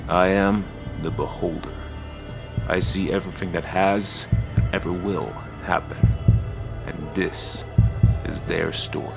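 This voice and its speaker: A man performs an immortal, all-seeing narrator of a dark fantasy audio drama. The voice is very low and heavily gravelled, solemn and portentous, with measured phrasing over clear background music. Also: steady tone. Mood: ominous. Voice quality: deep, gravelly.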